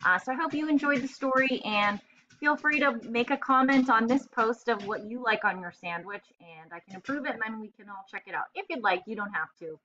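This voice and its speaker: A woman's voice: a little high, expressive, brisk, sharp tone. A young woman teacher talking casually and directly to young children as she does a read-aloud. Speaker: elementary school teacher. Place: indoor room, webcam screencast. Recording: slightly dull webcam audio.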